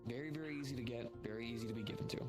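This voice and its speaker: A man with a medium-pitched voice talks at length as a roleplaying guild merchant. He explains options in a wordy, salesman-like way, improvising as he goes, with clear music playing behind him. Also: very fast. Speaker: roleplayer running a guild shop in a social VR roleplay.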